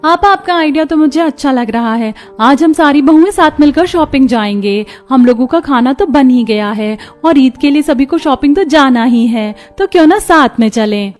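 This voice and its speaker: A woman's voice: high voice, lively intonation, normal speed, hardly any pauses, soft background music. A woman voicing a young woman in a cartoon family story. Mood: chatty. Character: young women of a neighbourhood, sweethearts and daughters-in-law. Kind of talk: cartoon voice acting.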